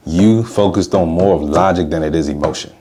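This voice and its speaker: A man's voice: low voice, fairly quick, mellow. A man hosting a podcast, speaking in casual slang and agreeing often in a loose, chatty way. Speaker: podcast host.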